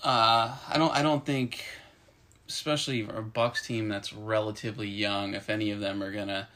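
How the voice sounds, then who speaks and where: A bright-sounding man hosts a casual football podcast, chatting easily with his wife. He talks in a relaxed way, with frequent ums and restarts. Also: fairly low pitch, moderate pace. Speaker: podcast host. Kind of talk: casual sports talk podcast.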